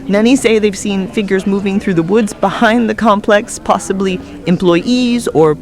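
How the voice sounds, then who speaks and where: A woman's voice: mid-range voice, moderate pace, faint music behind, natural voice. A woman playing an uneasy TV field reporter giving serious live reports from an emergency scene. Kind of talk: live on-location news report.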